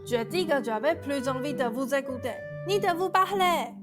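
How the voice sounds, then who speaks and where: A woman's voice: high-pitched, animated, normal speed. A woman playing a put-upon young servant girl, a plaintive Cinderella with some exasperation, in a student radio play. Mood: exasperated. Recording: music behind the voice.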